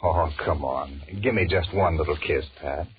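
A restless married businessman in a 1940s radio drama, on a muffled, hissy old recording. His voice is extremely low, and he grumbles and wheedles wearily. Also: moderate pace. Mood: flirtatious, grumbling. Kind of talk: 1940s radio drama acting, inner monologue and dialogue.

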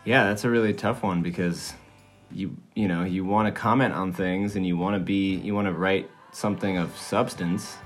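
A man's voice: sits low, steady tone, normal speed, soft background music. A man who grew up in Switzerland, a musician guest answering in a laid-back way with light laughs. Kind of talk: casual interview chat.

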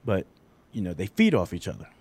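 A man's voice: low voice, wildly swooping pitch, fairly quick, a little mellow, breathy edge, dry recording. A man in his forties talking in a casual, rambling and candid way, self-deprecating about his own life. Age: 40s. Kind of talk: casual chat.

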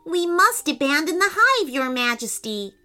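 A high, lively storybook honeybee, voiced by a woman. He is an earnest little male creature, anxious and respectful, with a slow, urgent delivery over music. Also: heavily acted. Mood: fearful, urgent. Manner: urgent, deferential. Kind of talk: children's storybook character voice.

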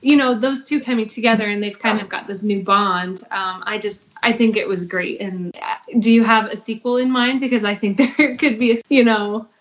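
A woman's voice: medium pitch, fairly even intonation, normal speed, highs cut off. A woman talking casually in a panel discussion as one of the show's voice actors. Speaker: voice actor and narrator of the show.

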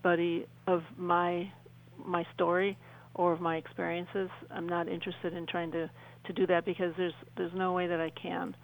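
A woman speaking as a guest on a radio show, telling her personal story in an earnest, halting way with repeated phrases. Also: fairly low pitch, flat delivery, gravelly, rough recording. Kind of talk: personal account in an interview.

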